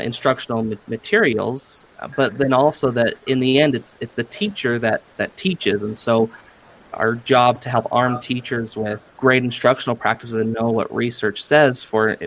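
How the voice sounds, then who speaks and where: A man's voice: medium pitch, vocal fry, a little hiss or hum, poor audio. A man hosting an interview podcast, talking through research in a conversational way. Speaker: podcast host.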